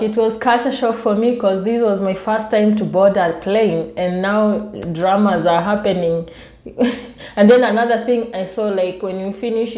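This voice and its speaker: A soft-spoken woman with a Kenyan accent chats casually to her viewers, telling personal stories in a self-amused way. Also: mid-range voice, reverberant. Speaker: YouTube vlogger. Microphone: newly bought external microphone.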